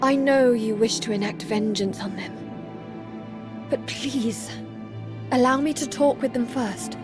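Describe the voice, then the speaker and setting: Woman playing resolute heroine, slightly high voice, earnest, measured, game cutscene, music behind. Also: lightly acted. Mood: calm, resolute. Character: earnest, resolute heroine seeking the truth from gods. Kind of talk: video game cutscene acting.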